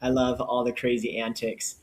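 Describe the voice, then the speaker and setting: A man's voice: mid-range voice, flat delivery, normal speed, slight background noise, poor audio. A man co-hosting a podcast, telling stories casually and with enthusiasm. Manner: enthusiastic storyteller. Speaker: podcast co-host.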